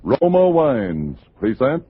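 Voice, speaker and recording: A man announcing and narrating a 1940s radio suspense show in a theatrical, ominous, deliberately phrased way. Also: medium pitch, very animated. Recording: dull old radio recording. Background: dramatic music.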